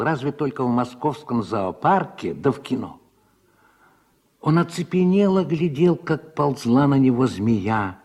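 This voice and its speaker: A man's voice: medium pitch, a little mellow, husky. A man narrating a literary radio drama from a script in a storyteller's manner.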